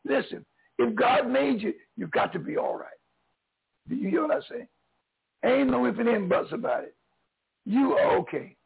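Hoarse older Southern pastor preaching. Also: male voice, very high voice, moderate pace, pauses often, narrow, tinny audio. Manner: preacherly, swings between loud and soft. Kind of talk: encouraging sermon.

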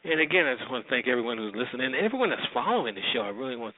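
A man's voice: medium pitch, big pitch swings, very fast. A man hosting a radio show, talking in a casual, informal, conversational way. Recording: thin phone-like band-limited sound.

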